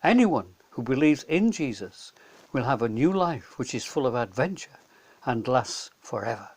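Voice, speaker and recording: A man tells a story for children in a simple, straightforward way. His intonation is animated, moving up and down freely as he narrates. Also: medium pitch, moderate pace. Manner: simple, clear retelling for children.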